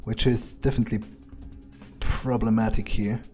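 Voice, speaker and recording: A man narrating a video game in character, thinking aloud in an understated, musing way with frequent pauses. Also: lowish voice, level tone, loud music behind the voice. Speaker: gamer playing in character.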